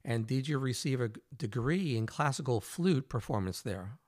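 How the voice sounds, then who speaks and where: A man's voice: mid-range voice, animated, normal speed, a little mellow, no echo, clean studio sound. A man hosting an interview, asking questions in an upbeat, encouraging way. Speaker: podcast host.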